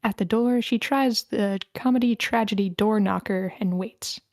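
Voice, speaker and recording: A woman's voice: mid-range voice, moderate pace, darkish tone, no room sound, studio quality. A woman reading screenplay scene directions aloud as the narrator of a table read.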